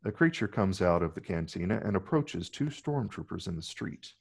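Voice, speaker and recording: A man with a gravelly, husky voice reading stage directions as scripted narration. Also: low-pitched, animated, normal speed.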